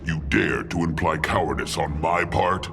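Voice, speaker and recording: A domineering evil god for a video game. The voice is extremely low and full of gravel, delivering pompous threats. Music plays behind it. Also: male voice, normal speed. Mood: menacing, domineering. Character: tyrannical, pompous, menacing god of worlds. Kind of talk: video game villain acting.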